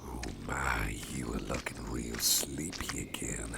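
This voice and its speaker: A man whispering softly and slowly in an ASMR roleplay as a caring boss carrying the listener. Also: extremely low pitch, fairly even intonation, vocal fry, heavy background noise. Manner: slow, gentle, mostly whispered, soothing. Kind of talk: soft-spoken ASMR roleplay. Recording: loud outdoor nature ambience behind. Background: rural outdoor sounds with birds.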